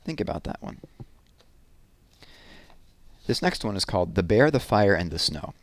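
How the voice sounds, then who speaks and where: Low-voiced man reading children's stories aloud. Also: dry recording. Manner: expressive, deliberate reading with conversational asides. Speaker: read-aloud host reading children's books. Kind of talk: storytelling read-aloud of poems and picture books.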